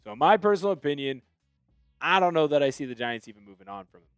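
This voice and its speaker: A young man hosting a sports news commentary, talking with firm opinions in a fairly high voice. His delivery swings into animated excitement at times. The sound is dry and close, with no room echo. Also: fast talker, clean tone, soft background music. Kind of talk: solo sports commentary video.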